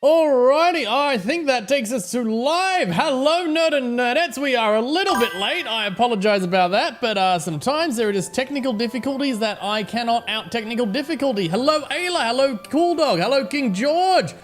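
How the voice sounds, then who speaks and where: A man with an Australian accent and a slightly hoarse voice, chatting casually to viewers on a live game stream and greeting them by name. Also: very high voice, lively intonation, moderate pace, hardly any pauses, music in the background. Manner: chatty, greets viewers by name, fretting over technical trouble.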